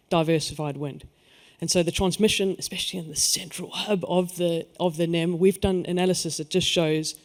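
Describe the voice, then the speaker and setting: A man with a South African accent speaking on a conference panel, stressing key words and then letting his voice fall away. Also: fairly high pitch. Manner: emphatic, lifts his voice on key points then trails off. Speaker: energy industry panelist.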